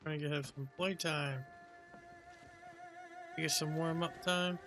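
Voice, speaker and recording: Man, gamer, casual play-by-play, somewhat high pitch, light music behind. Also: steady tone, slow pace, pushed and bright. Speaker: gamer playing and commenting. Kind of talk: casual gameplay commentary.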